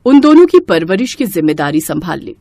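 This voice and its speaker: A woman's voice: lowish voice, very animated, fairly quick, dark tone, a little hiss or hum. A woman reading scripted storybook narration as the narrator.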